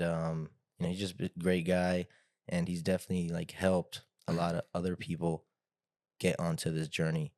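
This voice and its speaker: A man with a deep voice hosts a casual podcast chat. His delivery is flat and meandering, full of filler phrases, and the sound is close and dry. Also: no room sound. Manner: rambling, hedging with frequent "like" and "you know". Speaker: podcast host, interviewer.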